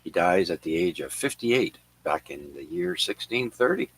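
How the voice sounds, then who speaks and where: A man hosting an amateur radio net, reading notes on who was born and who died on this day, with many filler pauses. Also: low voice, expressive, a bit bright, a little hiss or hum, poor audio. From Canada. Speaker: radio net control host. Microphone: radio transceiver microphone.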